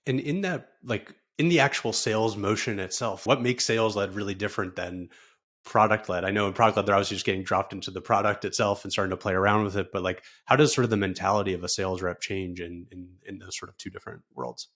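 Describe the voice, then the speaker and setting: A man's voice: fairly low pitch, steady tone, fast, fairly bright tone. A man hosting an interview in a casual, conversational style, thinking aloud as he works toward his questions. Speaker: interviewer, podcast host.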